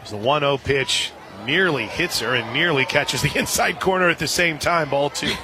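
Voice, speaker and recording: A man calling softball play-by-play on the radio, conversationally filling in scores and details between pitches. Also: normal speed. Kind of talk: live softball radio commentary. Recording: outdoor stadium crowd behind the voice. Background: crowd noise.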